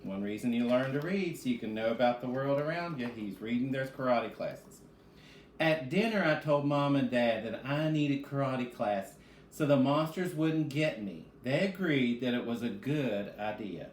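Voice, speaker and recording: A man's voice: mid-range voice, lively intonation, normal speed. A man who is a reading teacher, speaking to children in a read-aloud lesson. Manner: addresses children directly, asks and answers own questions. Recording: echoey room with faint background noise.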